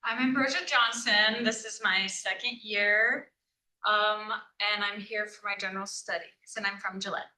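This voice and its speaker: A woman's voice: mid-range voice, steady tone, measured pace, sharp tone, slight background noise. A young adult woman, a college student, introducing herself to a group. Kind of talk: self-introduction. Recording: distant room microphone.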